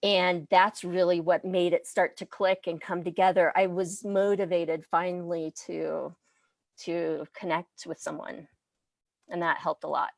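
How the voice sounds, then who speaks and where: A woman's voice: lowish voice, level tone, a little slow, vocal fry, no echo. A woman from the US Midwest with an American accent, chatting casually as an interview guest and language instructor. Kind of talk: casual interview chat about personal background.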